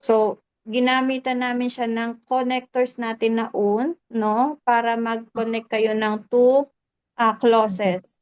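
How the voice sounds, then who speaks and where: A polite, patient woman from the Philippines teaches a German class on a video call. Her voice sits a little high, and she slows down carefully on each German word. The call audio is dry and a bit muffled. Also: little pitch movement, clean tone, dry recording. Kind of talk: online class, mixing Tagalog and German.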